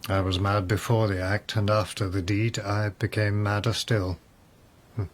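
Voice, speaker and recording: A man's voice: on the low side, level tone, moderate pace, lightly acted. An actor in a radio drama playing a mad, doomed nobleman in a rambling, wry, reflective monologue. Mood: bleak confession. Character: mad, doomed nobleman musing on his death.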